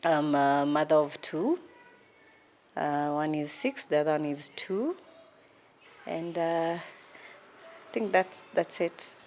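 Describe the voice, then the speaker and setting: A Kenyan woman in her thirties, a lawyer answering interview questions in a relaxed, hesitant way with many ums. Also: deep voice, slow pace, pauses often. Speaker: guest, lawyer.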